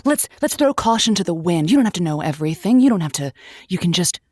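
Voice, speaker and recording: A woman's voice: fairly low pitch, lively intonation, fast, darkish tone, slightly rough, no echo, studio quality. A woman acting as an earnest, encouraging, slightly exasperated romantic advice host in a comedic audio drama, given to quaint pep talk. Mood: earnest, coaxing.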